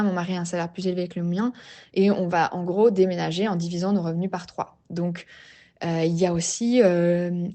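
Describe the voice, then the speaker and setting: A woman's voice: lowish voice, dark tone. Hesitant woman, reflective. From Geneva region, Switzerland. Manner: reflective, many hesitations and restarts. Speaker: podcast guest. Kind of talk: personal story in a podcast interview.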